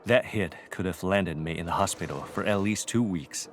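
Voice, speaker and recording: Deep-voiced, steady male soldier. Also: normal speed, faint music behind. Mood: calm, concerned. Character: steady, loyal soldier man advising his task leader. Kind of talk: video game voice acting.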